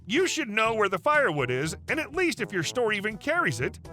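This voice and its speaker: A woman's voice: on the high side, very animated, a little fast, bright, forceful tone, soft background music, pitched above the performer's own voice. A man voicing an entitled young woman shopper who speaks in a demanding, indignant way.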